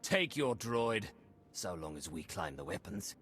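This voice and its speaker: An actor playing a gruff, glory-hungry warrior clan chief with a rousing, boastful delivery. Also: male voice, low voice, sing-song, a little slow, a bit bright, faint music behind, exaggerated voice.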